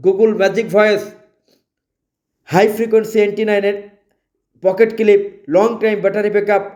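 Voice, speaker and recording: A man with a Bangladeshi accent delivering an emphatic, repetitive promotional sales pitch as a product advertiser. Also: level tone, a little fast, darkish tone. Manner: emphatic, repetitive, listing features.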